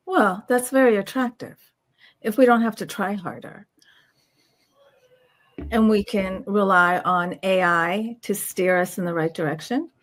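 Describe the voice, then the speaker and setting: A woman's voice: medium pitch, a little slow, pauses often. A woman hosting a conversational interview, putting questions and short responses to her guest. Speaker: interview host.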